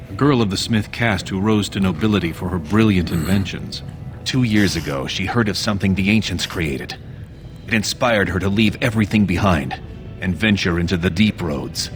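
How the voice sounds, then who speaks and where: An actor playing a scheming, ambitious dwarven prince in a fantasy video game, speaking in a formal, measured and persuasive way. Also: male voice, sits low.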